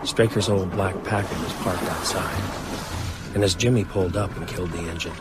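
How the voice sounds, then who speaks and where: A man's voice: low-pitched, fairly even intonation, normal speed, very noisy, lightly acted. An actor playing a brooding man narrating a horror tale in first person for radio.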